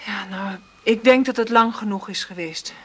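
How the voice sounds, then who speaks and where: An actress playing a hesitant, discouraged woman in a radio play, halting and apologetic. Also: medium pitch, fairly bright tone, husky. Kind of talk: natural radio play acting.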